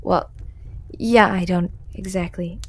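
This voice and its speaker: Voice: mid-range voice, fairly even intonation, slow pace. Someone voicing a shy, ageless hooded storyteller man. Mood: bashful. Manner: hesitant and stammering, many ums and restarts; soft-spoken, often whispering. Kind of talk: ASMR audio roleplay.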